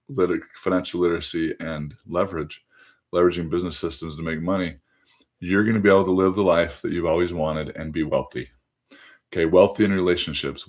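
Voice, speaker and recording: Hoarse-edged man pitching on a phone livestream. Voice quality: conversational, addresses viewers directly, frequent fillers. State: voice going hoarse, under the weather. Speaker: livestream host.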